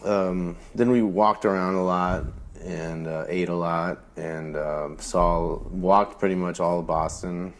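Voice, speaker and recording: A man's voice: sits low, fairly even intonation, slow pace. A man on a podcast, chatting casually and rambling with many fillers, a bit congested from a cold. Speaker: podcast co-host. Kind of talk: casual chat.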